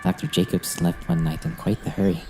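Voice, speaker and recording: A man acting as a polite, cagey Egyptian hotel keeper in an audio drama, speaking in measured, ingratiating short phrases. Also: low voice, level tone, over music, close to an everyday voice, poor audio. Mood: courteous, guarded. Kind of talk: radio-play acting.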